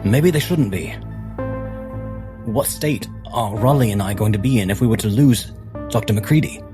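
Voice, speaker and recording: A man playing a tabletop roleplaying game, speaking in character in an earnest, deliberate, formal way. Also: fairly low pitch, music in the background.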